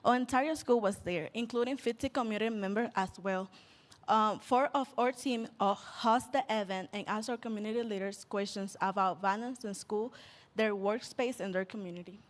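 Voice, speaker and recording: A woman's voice: mid-range voice, moderate pace. A teenage girl presenting a school event, hesitating with fillers. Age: teens. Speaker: student presenter.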